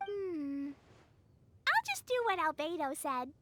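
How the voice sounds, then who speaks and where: A woman's voice: sits high, sing-song, slow, noisy background, exaggerated voice. A woman voicing a sweet, eager, childlike little girl in a video game cutscene. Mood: curious.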